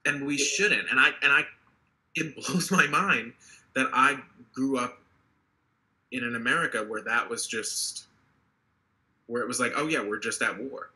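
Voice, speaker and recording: Young earnest man, bright tense voice. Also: medium pitch, a little slow, pauses often, low-quality recording. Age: 20s. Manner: earnest, passionate, talks in restarts. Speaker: political candidate. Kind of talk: interview over video call. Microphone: video call.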